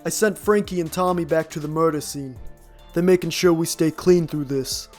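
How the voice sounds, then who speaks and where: An actor playing a ruthless mafia boss in a comic book audio drama, giving blunt, menacing orders. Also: male voice, fairly high pitch, normal speed, darkish tone, soft background music, lightly acted. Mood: commanding.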